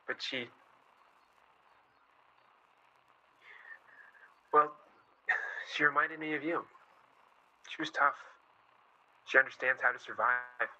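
A man acting an earnest, hopeful journalist in a wartime radio drama, speaking with hesitant pauses. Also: medium pitch, pushed and bright, highs cut off, slight background noise. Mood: subdued, tender. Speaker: actor in a radio play. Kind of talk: naturalistic radio drama dialogue.

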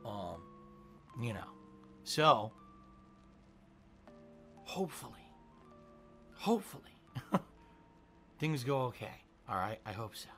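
Congested streamer man with a lively voice. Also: mid-range voice, slow, long pauses, breathy edge, faint music behind. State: congested with a cold. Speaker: game streamer. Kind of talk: casual live-stream chat.

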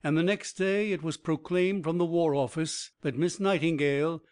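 A man reading a biography aloud as an audiobook narrator, in an even, measured way. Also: a little high.

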